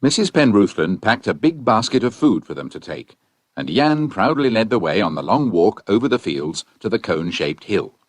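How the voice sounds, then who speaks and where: Guy narrating an audiobook, husky lowish voice, very expressive, dry close sound. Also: normal speed, dry recording.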